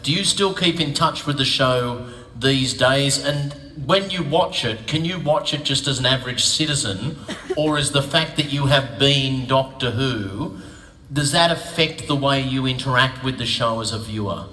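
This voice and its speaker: A male host moderating an audience Q&A, eager and chatty, with a long, winding way of asking things. His tone is bright and forceful, and it echoes around a large hall with a long reverb. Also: mid-range voice, normal speed.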